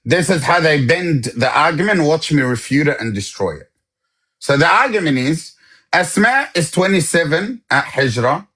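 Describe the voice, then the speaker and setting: A man's voice: on the high side, expressive, moderate pace, pushed and bright, slightly rough. Insistent man demanding sources. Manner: talks over others, interrupts. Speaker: debater. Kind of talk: heated live-stream debate.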